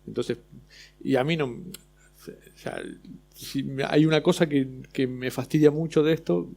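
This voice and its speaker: A man's voice: on the high side, measured pace, vocal fry, darkish tone. A man with an Argentine accent speaking on an illustrators' panel, hesitant, with many restarts. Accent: Argentine Spanish. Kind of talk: casual panel discussion.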